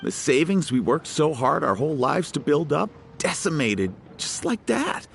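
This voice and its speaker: High-voiced, despairing bankrupt merchant. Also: male voice, very animated, normal speed, vocal fry, slight background noise. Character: rueful, despairing bankrupt merchant, humbled and dramatic. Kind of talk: video game voice acting.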